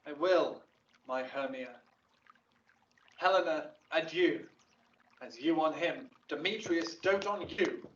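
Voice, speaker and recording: An earnest young Athenian lover in classical verse drama, in a fairly high male voice. The measured, poetic delivery sounds distant and echoing, over faint noise. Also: a bit bright. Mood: fond. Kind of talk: classical audio drama acting, Shakespearean verse. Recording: roomy, distant, dull playback with noise.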